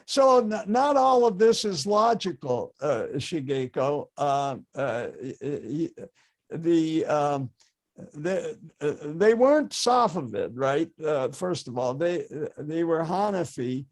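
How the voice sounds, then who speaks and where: An older man giving an informal history lecture, halting often with fillers and restarts. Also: on the high side, animated.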